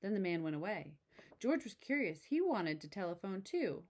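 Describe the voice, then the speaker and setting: A woman reading a children's picture book aloud in an expressive way, with casual asides. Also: mid-range voice, moderate pace. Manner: expressive, exclaiming story delivery. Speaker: storybook reader.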